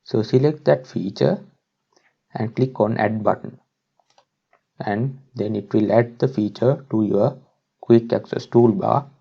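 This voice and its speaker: A man presenting a step-by-step software tutorial as a screen-recording voice-over. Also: lowish voice, a little slow, vocal fry, pauses often, breathy edge, dull audio. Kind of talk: instructional screen-recording narration.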